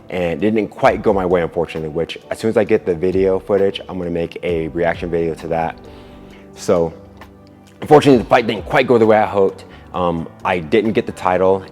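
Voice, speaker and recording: A man with a very low voice talks casually and earnestly, as if sharing a personal story with viewers. His words carry a long reverb, and music plays behind him. He often repeats a word or phrase to stress it. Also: darkish tone. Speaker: kickboxer and video creator.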